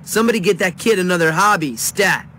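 A man's voice: high voice, fast talker, sharp tone, slight background noise. A man voicing a tough, sarcastic older teenage guy in a video game cutscene, sardonic and dismissive.

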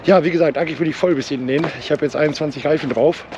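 Man, 40s, Ruhr German accent, hoarse from a cold, rambling chatty vlog, heavy road noise. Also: a little high, rapid-fire. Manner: chatty, rambling, with many fillers and restarts. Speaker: truck driver vlogger. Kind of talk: casual vlog chat. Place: truck cab and outdoor yards. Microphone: action camera on dashboard or held at arm's length. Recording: loud vehicle and outdoor noise.

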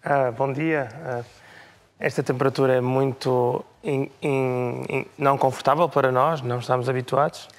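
A man in his thirties from Portugal, a conductor, giving calm and deliberate interview answers. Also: mid-range voice. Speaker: orchestra conductor. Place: television studio, indoors.

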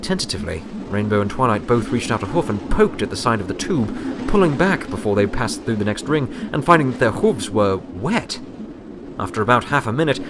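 A man's voice: lowish voice, noisy background. A man reading scripted story narration as an audiobook narrator.

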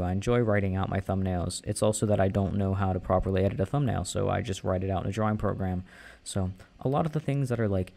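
A young man answering listener questions in a casual, soft-spoken, hesitant way, with many fillers and restarts. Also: lowish voice, gravelly. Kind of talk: casual Q&A chat.

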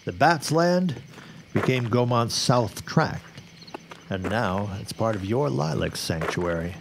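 A man's voice: mid-range voice, animated, slow, gravelly, background noise. An actor playing a wary, grave 1910s newsman in a radio drama, speaking in formal, old-fashioned phrases. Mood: grave, foreboding. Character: grim, wary 1910s newsman and worried father. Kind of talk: period radio drama acting.

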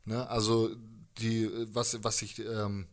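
Male musician, thirties, lowish voice, loose hesitant interview chat. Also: little pitch movement, slow. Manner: hesitant, informal slangy talk. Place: living room with instruments, indoors. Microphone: handheld microphone held close.

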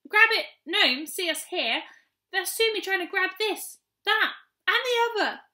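Woman as a high-voiced little pixie fellow. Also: lively intonation, unhurried, sharp tone, full character voice. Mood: nervous warning. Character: merry, obliging little male pixie, watchful and clever.

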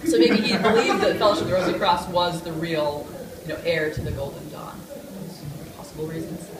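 In a roomy conference space with loud audience murmur, a woman lecturer fields questions. Her voice sits on the low side. She explains things articulately and with enthusiasm, speaking off the cuff like an academic. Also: fairly even intonation, brisk, a loud crowd. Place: hotel conference room. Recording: roomy, distant mic, audience noise.

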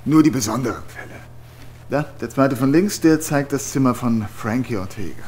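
An actor playing an affable but evasive psychiatric night nurse in a horror radio drama, overly reassuring and hesitant. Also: male voice, mid-range voice, animated, moderate pace, darkish tone, slight background noise, natural voice. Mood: chatty.

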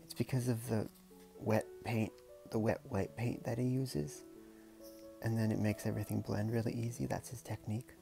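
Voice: medium pitch, level tone, normal speed, creaky, over music. A storyteller reading a picture book aloud in a casual, playful way, with chatty murmured asides.

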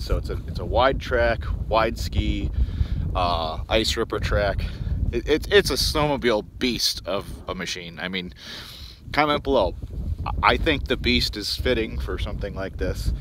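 Rambling low-voiced man outdoors on snow. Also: noisy background. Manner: rambling, many ums and restarts, chatty to viewers. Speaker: outdoors channel host. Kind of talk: casual vlog walkaround. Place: outdoors on snowy frozen lake. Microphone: phone at arm's length.

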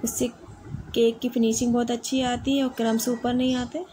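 Calm woman explaining cake steps. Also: on the high side, brisk, slight background noise. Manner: calm step-by-step instructions. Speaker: home baker. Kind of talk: cooking tutorial narration.

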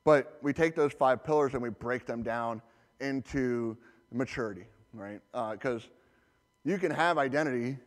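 A man gives a technical talk to a conference audience. His delivery is relaxed and conversational, full of fillers and small restarts. His voice sits mid-range, with a long reverberant tail from a large hall. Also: mid-range voice, normal speed. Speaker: conference presenter, security architect.

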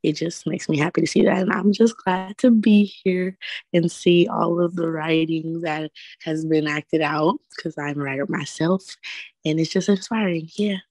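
A woman's voice: lowish voice, fairly even intonation, moderate pace, a little mellow, no room sound, poor audio. A young woman in her late teens, a student making an informal, earnest, tentative comment on a call. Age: late teens, young adult. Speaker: high school student audience member. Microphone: video call.

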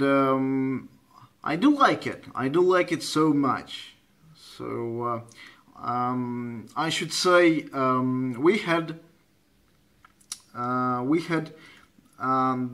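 A man's voice: mid-range voice, fairly bright tone. A man with a Russian accent chatting as a ham radio operator, hesitant and searching for words. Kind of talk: casual radio contact chat. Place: indoors at a computer running radio software.

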